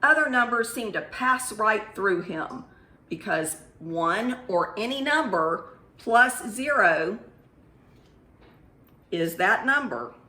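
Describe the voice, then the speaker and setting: Bright, lively woman teaching young children. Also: medium pitch, takes it slowly. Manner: encouraging, simple phrasing for young children, often asking questions. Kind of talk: children's math lesson and read-aloud storytime. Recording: echoey room.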